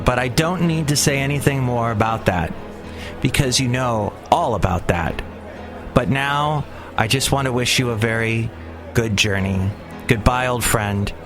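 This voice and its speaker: Man hosting a solo podcast; chatty, rambling, mid voice, music bed under him. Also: medium pitch. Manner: rambling, chatty, many fillers and restarts. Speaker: podcast host. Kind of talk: casual solo podcast talk.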